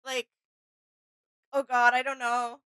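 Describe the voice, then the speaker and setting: A woman with a high, bright voice, co-hosting a casual reaction chat. She speaks in an agreeable, somewhat tentative manner, recorded dry and close. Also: little pitch movement, slow, clear, resonant tone, no echo. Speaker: reaction video co-host.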